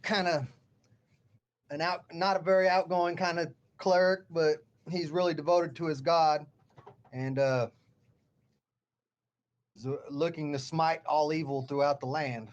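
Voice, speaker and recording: A man playing a tabletop game who introduces his character in a plain, slightly halting way. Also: a little high, fairly even intonation, lo-fi sound. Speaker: player.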